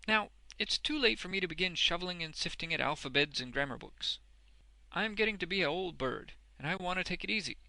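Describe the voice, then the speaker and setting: A man's voice: high voice, expressive, brisk, creaky, pushed and bright, no echo, voice pushed up, exaggerated voice, low-quality recording. A man voicing a hearty, uneducated old working man in a storybook, blunt and exuberant, with emphatic, ungrammatical speech. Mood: eager, bluff.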